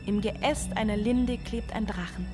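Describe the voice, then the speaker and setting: A woman's voice: medium pitch, measured pace, lightly acted. Woman acting a dreamy mother over music. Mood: tender, dreamy. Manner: incantatory, poetic, repetitive. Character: strained, dreamily euphoric single mother narrating her walk. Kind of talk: German radio drama monologue.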